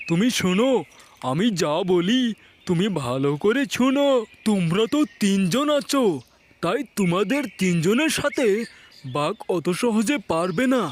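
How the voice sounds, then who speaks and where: A man's voice: sits high, very animated, measured pace, a little hiss or hum. A man voicing a cunning cartoon crocodile who talks like a friendly, persuasive schemer. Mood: sly, persuasive. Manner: persuasive, explanatory, coaxing. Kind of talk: cartoon character acting.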